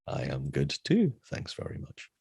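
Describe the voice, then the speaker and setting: Man, British accent, very deep, gravelly, raspy, tired, casual podcast chat. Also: moderate pace, dry recording, clean studio sound. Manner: relaxed, rambling with fillers. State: tired from a late night. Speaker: podcast co-host.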